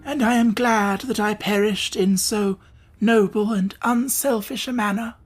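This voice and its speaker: A woman's voice: mid-range voice, steady tone, measured pace, husky, soft background music, voice pushed up. A woman voicing a noble, self-sacrificing male scarecrow in a storybook reading, in a dignified, heartfelt manner. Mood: mournful.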